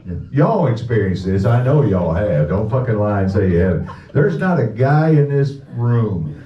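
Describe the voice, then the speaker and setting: A man's voice: fairly low pitch, very animated, moderate pace. A man performing a live stage hypnosis comedy show, bantering crudely and profanely with the crowd. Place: indoor show venue. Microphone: audience camera far from the stage. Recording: distant, muffled, echoey venue with background noise.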